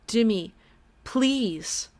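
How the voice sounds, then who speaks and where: A woman's voice: mid-range voice, expressive, unhurried, a bit bright, voice pushed up. A woman voicing an elderly mother who pleads tearfully in broken English. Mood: tearful pleading.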